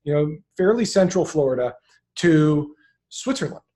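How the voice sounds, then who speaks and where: A man's voice: fairly high pitch, expressive, moderate pace, dark tone. A man doing a casual interview, thinking aloud as he works his way through questions.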